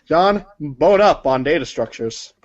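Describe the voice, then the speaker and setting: A man's voice: a little high, lively intonation, measured pace, muffled recording. A man narrating a comic read-along story in the second person.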